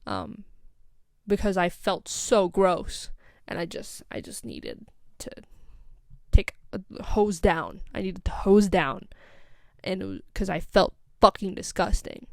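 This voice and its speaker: A woman's voice: on the low side, big pitch swings, takes it slowly, vocal fry, no room sound, clean studio sound. A young woman with an American accent telling a casual, rambling story as a vlogger, full of "like" and "um". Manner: rambling, scatterbrained, lots of "like" and "um".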